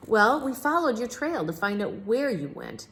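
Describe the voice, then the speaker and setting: A woman voicing a clever, unflappable male detective in a children's storybook, speaking deliberately and emphatically. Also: a little high, big pitch swings, a bit bright, pitched above the performer's own voice. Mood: confident.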